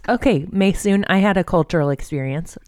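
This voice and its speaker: A woman's voice: lowish voice, brisk, a little mellow, no echo, studio quality. An American woman co-hosting a podcast, chatting and telling stories in an informal, jokey way. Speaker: podcast co-host. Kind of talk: casual chat and storytelling.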